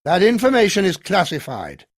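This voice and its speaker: A man's voice: sits high, huge pitch swings, normal speed, voice pushed up, heavily acted. A man voicing a tired but commanding army colonel, speaking in a clipped, authoritative way and raising his voice over a crowd. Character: commanding male army colonel, stern and weary.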